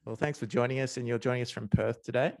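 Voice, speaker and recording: A man with an Australian accent hosting a podcast interview, asking questions in a relaxed, friendly way. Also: mid-range voice, fast, vocal fry, dry recording. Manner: relaxed and friendly, with short affirmations. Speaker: podcast host.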